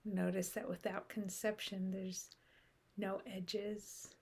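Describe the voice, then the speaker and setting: A woman guiding a meditation in a soft, unhurried way with long pauses, her breathy voice often trailing off into a whisper. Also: mid-range voice, flat delivery. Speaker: meditation guide. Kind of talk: guided meditation.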